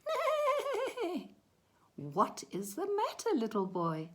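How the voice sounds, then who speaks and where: A woman's voice: very high voice, huge pitch swings, drawn-out words, pitched above the performer's own voice, exaggerated voice. A woman voicing a kindly, worried stallion who draws out neighing words in a children's story. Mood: concerned.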